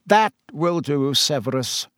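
A man voicing a calm, wise old male headmaster who speaks quietly and with measured composure. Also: a little high, no room sound, clean studio sound.

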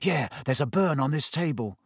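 Laid-back joking schoolboy, offhand and wry, close dry voice from the narrator. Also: male voice, on the high side, expressive, moderate pace, creaky, slightly rough, dry recording, exaggerated voice.

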